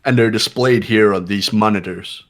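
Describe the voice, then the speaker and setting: Guy doing a cool know-it-all student detective from a game, lowish voice, deliberate, bit of music behind. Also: natural voice. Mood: cool, certain. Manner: deliberate, certain, formal. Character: cool, analytical, authoritative young male student sleuth. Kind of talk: amateur voice acting of a video game.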